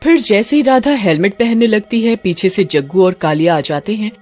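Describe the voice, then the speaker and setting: A woman's voice: medium pitch, normal speed, a little mellow, faint music behind. A woman giving scripted story narration for a cartoon.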